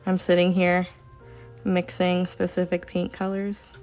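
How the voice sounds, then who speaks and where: A woman casually chatting during a live stream while she paints, rambling with lots of fillers and sometimes talking softly. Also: lowish voice, measured pace, faint music behind. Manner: says she is relaxed, talks softly at times.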